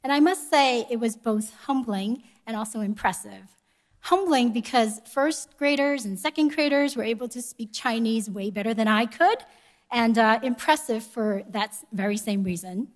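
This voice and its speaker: A woman delivering a prepared speech at a conference in a measured, polite and formal manner. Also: a little high, lively intonation. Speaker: conference speaker, education organization executive. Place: live event room before an audience.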